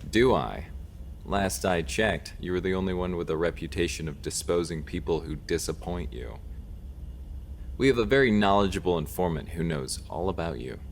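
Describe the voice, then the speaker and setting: An actor playing a steady, determined police detective in an audio drama, confident and matter-of-fact. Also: male voice, low voice, normal speed, a little hiss or hum. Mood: cool, goading.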